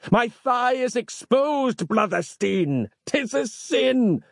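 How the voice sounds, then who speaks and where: A man's voice: mid-range voice, very animated, slow pace, slightly rough, no echo, studio quality, exaggerated voice. A man voicing a melodramatic, gloomy monk follower who wails in theatrical archaic speech for an audio story. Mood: pained wailing.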